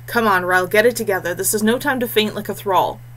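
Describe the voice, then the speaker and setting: A woman voicing a steady, protective young male warrior, brisk and urging, in a fantasy audiobook. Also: mid-range voice, lightly acted.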